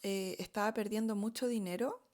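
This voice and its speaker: A woman's voice: medium pitch, steady tone, moderate pace, a little mellow. Chilean lady vlogging her story, lots of ums and ok's, just talking to camera. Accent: Chilean Spanish. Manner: chatty and candid, frequent fillers and "¿ok?" checks, laughs often. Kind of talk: casual storytime monologue.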